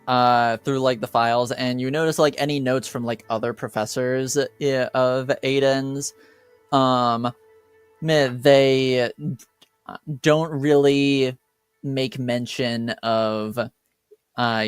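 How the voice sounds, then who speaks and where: A man's voice: medium pitch, smooth, no room sound. A man running a tabletop roleplaying game, improvising narration as game master and often searching for his words. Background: background music.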